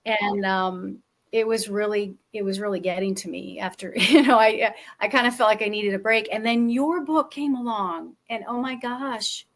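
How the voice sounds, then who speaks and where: Female interviewer, conversational and expressive, mid-pitched voice, chatty and emphatic. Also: moderate pace. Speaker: interviewer and memoir author.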